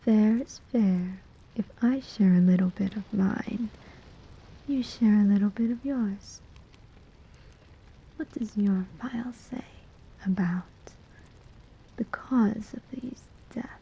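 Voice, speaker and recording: A woman's voice: mid-range voice, mellow, muffled recording, exaggerated voice. A woman playing a sly, amused demon collector of souls in a whispered, teasing ASMR roleplay. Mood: sly, curious. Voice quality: breathy, whispering. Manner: slow, teasing, deliberate pauses.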